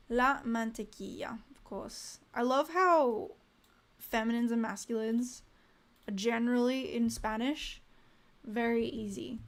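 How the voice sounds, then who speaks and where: Slow-talking woman reviewing flashcards aloud. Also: on the high side, vocal fry. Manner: reads vocabulary aloud in short bursts, muttering asides to herself; cheerful and chatty with viewers. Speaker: language learner streaming live. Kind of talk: casual live-stream study session.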